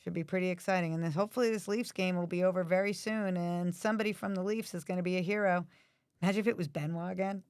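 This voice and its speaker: A woman with a raspy, allergy-hoarse voice chatting casually while watching hockey at home. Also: lowish voice, fairly even intonation, quick pace, darkish tone, dry recording. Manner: chatty, asks many questions. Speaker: livestream host.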